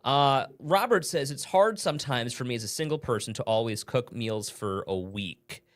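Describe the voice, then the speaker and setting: A man's voice: medium pitch, animated, normal speed. A man with a faint Long Island accent co-hosting a casual morning chat show, laughing easily and trailing off mid-thought. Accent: faint Long Island, mostly smoothed out. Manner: chatty, laughs often, trails off.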